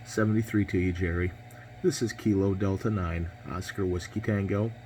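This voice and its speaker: A man's voice: deep voice, steady tone, normal speed, darkish tone, a little hiss or hum. A man in his twenties with an American accent, talking casually over ham radio in a hesitant, polite manner. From United States. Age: 20s. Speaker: amateur radio operator.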